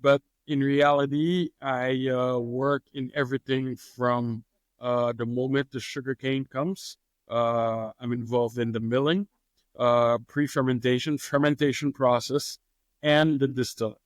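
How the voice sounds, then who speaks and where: A man answers questions at an easy, unhurried pace, often pausing on fillers and chuckling. His voice sits in a middle register and sounds close and dry, with no room around it. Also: mid-range voice, no echo. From Haiti. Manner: relaxed, hesitant with frequent fillers, laughs easily. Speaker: master distiller, guest. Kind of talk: interview answers.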